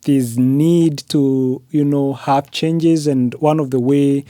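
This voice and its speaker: A man's voice: mid-range voice, fairly even intonation, slow pace, mellow, dry recording, studio quality. A man from Kenya with a Kenyan accent, whose first language is Swahili, speaking earnestly as a podcast guest with frequent fillers like "uh" and "you know". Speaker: podcast guest, graduate student. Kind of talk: interview conversation.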